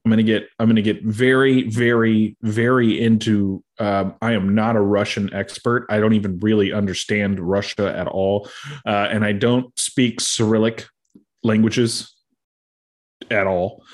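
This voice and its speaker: Rambling, laughing podcast co-host, a man. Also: fairly low pitch. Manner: rambling, laughs often, many fillers. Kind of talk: casual podcast chat.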